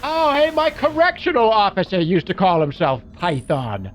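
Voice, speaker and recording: A gun-loving mech mechanic for a video game. The voice sits high and jumps around in pitch with excitable energy. The talk is folksy and slangy, full of wisecracks, over music. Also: male voice, normal speed. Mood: wry. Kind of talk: video game character voice lines.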